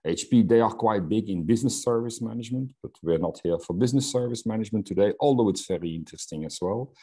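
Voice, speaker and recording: A man's voice: fairly low pitch, fast talker, mellow, husky. A middle-aged man from Belgium whose first language is Dutch, presenting in an online webinar with frequent fillers and restarts. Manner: hesitant, many fillers and restarts. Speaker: Salesforce instructor.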